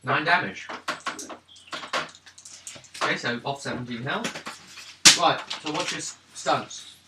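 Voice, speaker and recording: Heard from off the mic through strong noise and echo, a man talks in an average-pitched voice. He speaks casually, running a tabletop role-playing game for the players. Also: slow pace. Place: around a gaming table in a room. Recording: very noisy, echoey, far from mic.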